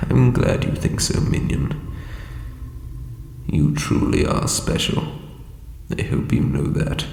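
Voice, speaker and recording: A man playing an ancient, insecure undead lich king in a comedic audio roleplay, with a breathy croak and hesitant, pompous phrasing. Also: extremely low pitch, big pitch swings, slow pace, darkish tone, exaggerated voice. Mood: touched, grateful. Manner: hesitant, self-doubting, theatrical formal phrasing. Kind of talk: scripted comedic audio roleplay addressed to listener. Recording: reverberant echoey hall, faint background noise.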